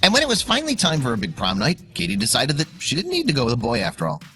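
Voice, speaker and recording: A man narrates a scripted story for online video with suspense and emphasis. His bright, forceful voice sits at a middle pitch and moves in lively swings. Music plays softly behind him. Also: racing. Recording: music under the voice. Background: background music.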